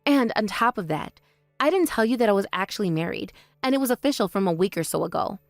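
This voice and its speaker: A woman voicing a calm, composed older sister who speaks in a measured, quietly cutting way in a voice drama. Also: medium pitch, dry recording, clean studio sound, soft background music, natural voice. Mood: cool. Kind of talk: voice drama, one performer.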